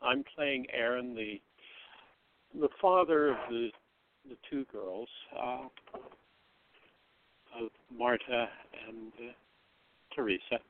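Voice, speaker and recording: An older man chatting casually as a guest, with a halting, hesitant way of talking. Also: mid-range voice. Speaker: guest actor. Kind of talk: casual chat. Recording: hissy, noisy phone-like line.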